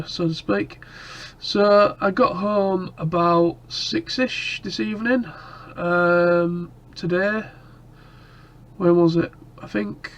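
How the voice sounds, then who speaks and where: A man's voice: high-pitched, fairly bright tone. A man chatting casually to camera in a rambling way, his nose stuffed up and coughing from a cold. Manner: rambling, lots of ums and restarts. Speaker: vlogger.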